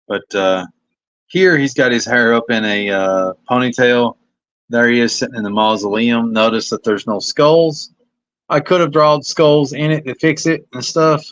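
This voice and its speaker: A man's voice: medium pitch, steady tone, unhurried, fairly bright tone, clear, resonant tone, no echo, highs cut off. A man with a Kentucky accent, a comic artist talking casually and self-critically about his pages on a livestream. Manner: rambling, self-critical, hesitant. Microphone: phone.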